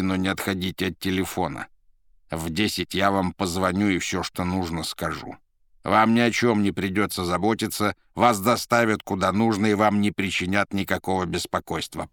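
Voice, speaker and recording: An irritable demonic emissary from a storybook acted out by a man, gruff and bossy. He gives orders with sardonic exasperation and snaps when crossed. The sound is close and dry. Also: low voice, no room sound. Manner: commanding, exasperated, hissing and yelling when crossed. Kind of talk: dramatised audiobook character voice.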